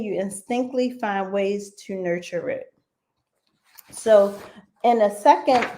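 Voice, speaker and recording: A woman's voice: medium pitch, warm tone. A woman hosting a conversational radio interview show.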